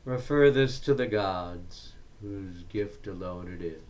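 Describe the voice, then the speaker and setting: A man reciting poetry in a deliberate, declamatory way, breaking the verse into short phrases with frequent pauses. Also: low voice, a little mellow, slight background noise. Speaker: poetry reader.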